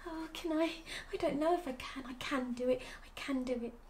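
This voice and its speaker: Woman putting on a high-voiced shy little pig. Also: a little fast, a little mellow, lightly acted. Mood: nervous. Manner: hesitant, repeats self-encouragement. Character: shy, anxious young girl piggy psyching herself up.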